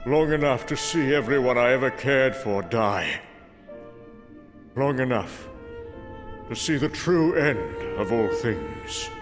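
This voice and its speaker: A man performs as an aged Norse god, the weary last king of ruins. He speaks very slowly and gravely in this comic book drama. His voice rings through long hall reverb, with prominent bowed strings underneath. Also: mid-range voice, lively intonation. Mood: mournful resignation. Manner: slow, solemn, grave. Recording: long hall reverb over loud music. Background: bowed string music.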